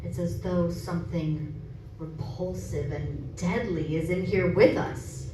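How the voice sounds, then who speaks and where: A woman's voice: fairly low pitch, steady tone, takes it slowly. A woman acting as a polite, hesitant young male helper in a radio horror drama. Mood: uneasy, hesitant. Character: respectful young man, a nervous helper. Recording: roomy distant recording, clear noise.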